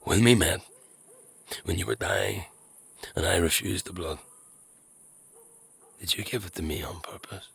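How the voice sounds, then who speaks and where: A man playing a gruff, troubled fantasy leader in an audio drama, blunt, informal and halting, with a gravelly voice. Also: sits low, fairly bright tone, exaggerated voice. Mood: hesitant, probing. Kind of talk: naturalistic dark fantasy audio drama acting.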